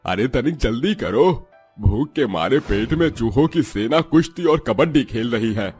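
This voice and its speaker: A middle-aged village husband, played by a man in a cartoon comedy tale. His medium-pitched voice is jovial and teasing, with impatient exclamations. Soft music sits behind him. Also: expressive, normal speed, lightly acted. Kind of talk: animated comic story voice acting.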